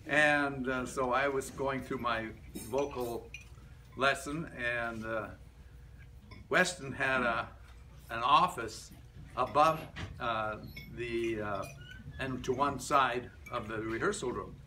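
An older man reads a prepared reminiscence aloud to a group, halting between short phrases. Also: medium pitch, bright, forceful tone. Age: older, about 70s to 80s. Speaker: club member giving a life-story speech. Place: medium-sized indoor meeting room. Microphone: camera a few metres away. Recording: distant room mic with background noise.

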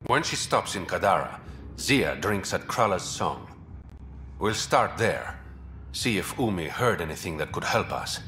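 An actor playing a smooth, wry, roguish smuggler in a space video game, with a teasing, self-mocking manner. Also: male voice, low-pitched, big pitch swings, unhurried, pushed and bright, slight background noise, exaggerated voice. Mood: businesslike. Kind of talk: video game dialogue acting. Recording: music behind the voice.

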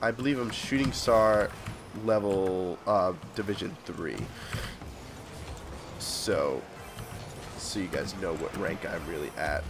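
Young adult male gaming host, lowish pitch, congested from a cold, casual commentary over faint noise. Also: takes it slowly, pauses often. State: sick with a cold.

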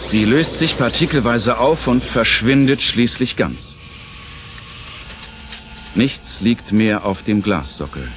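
A man's voice: medium pitch, animated, slow pace, pauses often, fairly bright tone, a little hiss or hum. A man narrating a scripted audio play in a dramatic storytelling style.

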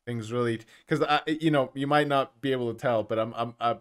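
A man's voice: medium pitch, fairly even intonation, fairly quick, clear, resonant tone. A man who grew up speaking Spanish hosts a casual gaming podcast in English. He sounds eager and friendly, padding his sentences with fillers and laughing freely. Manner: enthusiastic, laughs often, filler words. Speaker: podcast host.

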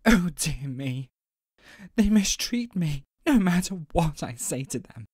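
A man's voice: a little high, sing-song, slow, a little mellow, husky, dry recording, studio quality, pitched above the performer's own voice, full character voice. A man voicing a gloomy, self-pitying cartoon character in a plaintive manner.

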